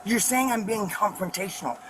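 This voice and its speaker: A woman's voice: mid-range voice, a little fast, over a noisy crowd. A woman pulled over in her vehicle at a roadside, arguing in a stubborn, defiant manner. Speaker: driver pulled over in a traffic stop. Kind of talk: argumentative exchange. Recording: noisy roadside body-camera audio.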